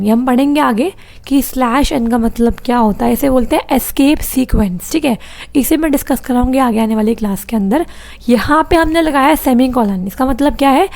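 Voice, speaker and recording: A woman's voice: high voice, creaky, darkish tone. A woman teaching programming in an online exam-prep class, with a sore throat, explaining step by step and repeating key points.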